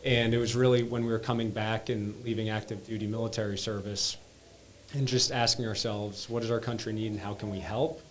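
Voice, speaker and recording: A man with a fairly low voice speaks as a guest on a podcast. He is earnest and reflective, and he talks in a steady storytelling way. His delivery is plain and sincere. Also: fairly quick, slight background noise. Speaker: guest, organization co-founder. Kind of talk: podcast interview answers.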